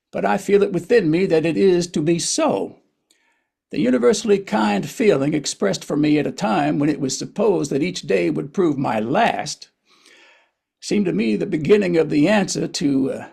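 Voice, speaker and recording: A man's voice: a little high, creaky, a little mellow, husky, heavily acted. A man playing a wry, reflective older male writer and narrator in a table reading of a historical play, with a hesitant storytelling manner.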